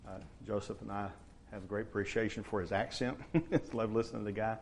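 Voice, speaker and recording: A man, preaching a sermon to his church in a relaxed, conversational way. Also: lowish voice, gravelly, slight background noise. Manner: conversational, unhurried, frequent uh fillers. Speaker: pastor.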